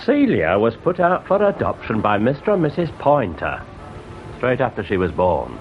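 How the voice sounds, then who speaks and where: A man's voice: mid-range voice, wildly swooping pitch, normal speed, soft background music. An actor playing a tight-lipped, grudging suspect who is a grieving father, in a radio drama. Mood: sullen. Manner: curt, grudging answers. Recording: dull lo-fi radio recording.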